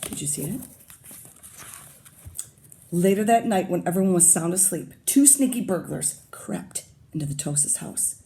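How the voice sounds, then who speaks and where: A woman teacher reading a picture book aloud to young children, pausing to comment and ask them questions. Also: fairly low pitch, lively intonation, dark tone.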